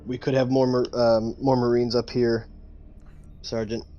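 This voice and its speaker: Mid-pitched man playing a tabletop game. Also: little pitch movement, a little slow, dark tone, dull audio. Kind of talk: casual game-table chat.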